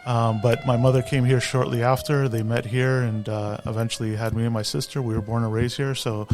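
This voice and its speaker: A man's voice: medium pitch, level tone, fairly quick. A middle-aged man from San Francisco, a guest who tells his career story in an easygoing, reflective way and laughs at himself. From San Francisco, USA. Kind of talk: interview storytelling.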